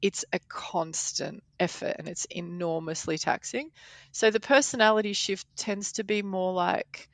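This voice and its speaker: A woman's voice: mid-range voice, unhurried, no echo. A woman in her forties who grew up in Poland, a podcast editor and language teacher, talking through her ideas thoughtfully in a casual interview. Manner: thoughtful, explains with examples.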